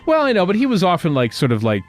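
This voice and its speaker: A man with a lively, swooping voice chats as a podcast host. He is jokey and casual, talking over faint music. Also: high-pitched, fast. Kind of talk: casual panel chat about a TV series.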